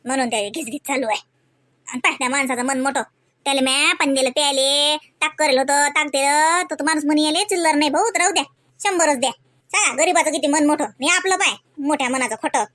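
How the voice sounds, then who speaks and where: A woman's voice: high-pitched, steady tone, quick pace, fairly bright tone, dry recording, studio quality. A woman playing a kindly, talkative, scolding village mother in a comedy cartoon, with a Varhadi Marathi accent. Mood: indignant, chatty. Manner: homely, talkative, emphatic.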